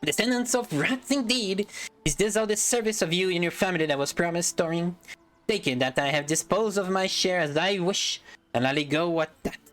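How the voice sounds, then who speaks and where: A man's voice: sits high, moderate pace, a bit bright, pitched above the performer's own voice. A man voicing a small, fussy hobbit who is primly indignant.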